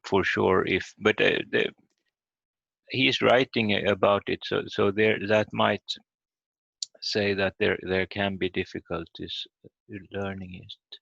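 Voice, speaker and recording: A man teaching an online language lesson in a halting, hesitant way over a muffled call. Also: lowish voice, steady tone, gravelly, a bit bright, no room sound.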